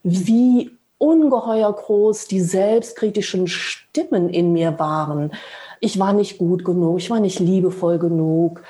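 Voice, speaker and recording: A woman's voice: lowish voice, a little slow, warm tone. A woman in her sixties with a Rhineland German accent, a podcast guest recounting her path to meditation thoughtfully, with frequent hesitations. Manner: hesitant, many fillers, thoughtful. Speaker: guest, meditation teacher and interpreter. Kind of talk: reflective personal account in a podcast.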